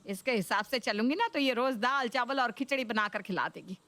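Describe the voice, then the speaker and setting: A woman's voice: on the high side, brisk, bright, forceful tone. A woman voicing a nagging, demanding older mother-in-law in a Hindi cartoon story, complaining and taunting. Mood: sulky, taunting.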